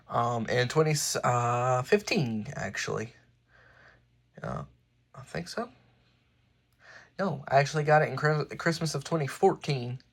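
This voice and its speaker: A man presenting his hobby collection in a casual, unscripted way, halting and often correcting himself as he goes. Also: medium pitch, fairly bright tone. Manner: halting, offhand, self-correcting. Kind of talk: casual unscripted show-and-tell.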